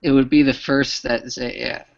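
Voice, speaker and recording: A man's voice: mid-range voice, little pitch movement, moderate pace, vocal fry. A man hosting a casual live stream chat, rambling with restarts. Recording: lo-fi online stream audio.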